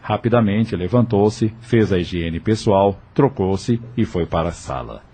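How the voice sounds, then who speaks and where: A man's voice: on the low side, expressive, measured pace, mellow, slightly rough. A man with a Brazilian accent narrating an audio novel in a scripted storytelling style.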